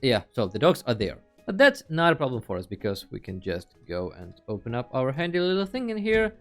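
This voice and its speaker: Male tutorial host, animated mid-range voice, casual and playful delivery, faint music underneath. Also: mid-range voice, fairly quick. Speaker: host of a video game tutorial channel. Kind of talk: casual tutorial screen narration.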